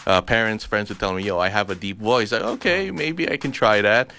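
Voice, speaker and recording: A man's voice: lowish voice, brisk, pushed and bright, a little hiss or hum. A young man from Cebu, a radio DJ and co-host, chatting casually on air and laughing often between stumbling restarts. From Cebu, Philippines.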